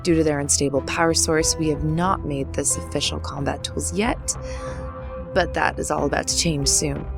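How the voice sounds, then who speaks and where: A woman playing a stern, formal army general with a measured, authoritative manner in an amateur audio drama. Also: sits low, fairly even intonation, gravelly, over music. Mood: stern, businesslike. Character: stern female army general at a secret base.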